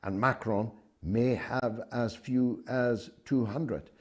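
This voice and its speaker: An older man with a Scottish accent hosting a call-in talk show, speaking forcefully and emphatically with many pauses. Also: mid-range voice, fairly even intonation.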